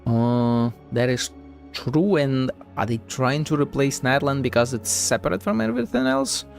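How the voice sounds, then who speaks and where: A man's voice: mid-range voice, animated, normal speed, creaky. A man streaming a game with casual live commentary, musing and rambling as he goes, swearing casually. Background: game music.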